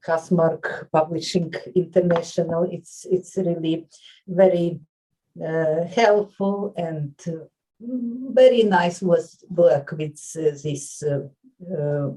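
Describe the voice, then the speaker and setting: A Lithuanian woman who works as a nurse and writes books, answering interview questions in halting English with frequent pauses and fillers. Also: lowish voice, warm tone, recorded from a distance, low-quality recording. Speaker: author and nurse.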